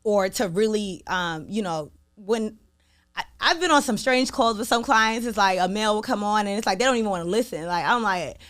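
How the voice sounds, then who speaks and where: A young woman with a New York accent chatting casually as an interview guest, talking in an animated way with lots of "like" fillers. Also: mid-range voice, a little fast. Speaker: guest, radio sales executive.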